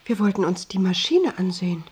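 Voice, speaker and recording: Husky, naive devoted wife. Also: female voice, on the low side, very animated, brisk, darkish tone, heavily acted. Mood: curious, artless. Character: naive, devoted worker's wife, gently stubborn. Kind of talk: German radio drama acting.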